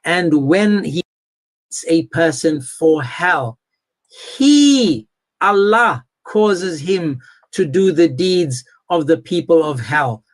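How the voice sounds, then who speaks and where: A man with a fairly high voice hosts a live online religious debate. He is confrontational and insistent, pressing his points with firm emphasis. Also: animated, slow. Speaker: debate host.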